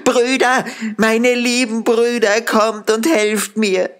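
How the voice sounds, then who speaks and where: Man voicing a high-pitched frightened young wife. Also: flat delivery, takes it slowly, a bit bright, clean tone, full character voice. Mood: desperate calling. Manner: anxious, pleading. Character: frightened young wife of fairy tale, anxious, pleading.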